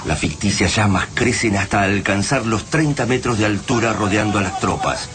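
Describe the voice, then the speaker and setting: A man's voice: on the low side, normal speed, noisy background. A man with an Argentine accent giving a dramatic narration for a radio drama.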